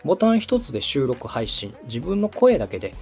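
A man's voice: mid-range voice, sing-song, a little fast, darkish tone. A man in his thirties from Osaka hosting a podcast, explaining things politely and step by step to a co-host. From Osaka, Japan. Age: 30s. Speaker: podcast host and radio director. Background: music.

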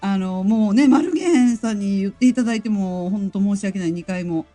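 A woman chatting in a lively, casual way as a guest on a live audio stream, with music behind her. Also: medium pitch, fairly quick. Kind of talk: casual group chat and announcement.

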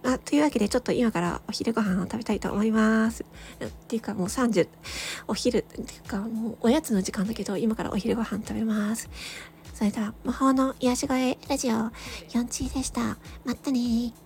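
A woman hosting a solo audio show, chatting casually and reflectively to listeners, thinking aloud with hesitant pauses. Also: on the high side, steady tone, gravelly, a little mellow. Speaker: solo audio channel host, amateur voice actor.

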